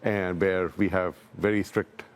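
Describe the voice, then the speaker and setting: A man speaking as a technology company executive in a live business news interview, explaining things calmly. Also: deep voice, unhurried, smooth.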